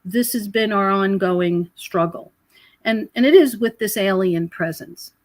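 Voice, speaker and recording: A middle-aged or older woman speaking as a podcast guest, explaining spiritual ideas conversationally in a rambling way with pauses and repeated phrases. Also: mid-range voice, no room sound. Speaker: podcast guest, researcher and author. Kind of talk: conversational spiritual commentary in an interview.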